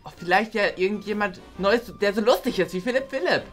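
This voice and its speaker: A woman's voice: mid-range voice, fairly quick, faint music behind, natural voice. A woman voicing a cheerful, naive schoolgirl who chatters eagerly in a toy play series. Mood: chatty.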